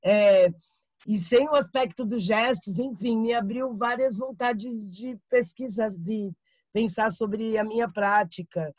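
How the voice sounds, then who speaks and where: A woman's voice: on the high side, slow, a little mellow, no room sound. Hesitant woman thinking aloud, dry sound. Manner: thoughtful, hesitant, many fillers. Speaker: student in an acting course. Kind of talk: reflective class discussion.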